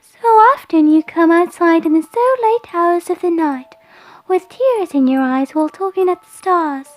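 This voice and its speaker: A woman voicing an eerie childlike spirit in a story, with a soft, wispy, quivering voice, dreamy and sweetly coaxing. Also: very high-pitched, a little slow, voice pushed up, poor audio. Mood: dreamy, curious. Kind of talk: audio storytelling character voice.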